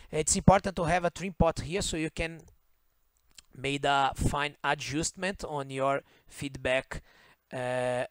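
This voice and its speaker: Portuguese-accented man explaining circuits haltingly. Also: a little high, dry recording. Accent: Brazilian Portuguese. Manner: halting, self-correcting, frequent "okay?" checks. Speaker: electronics instructor. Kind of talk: screen-share circuit walkthrough. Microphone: voice-over on screen recording.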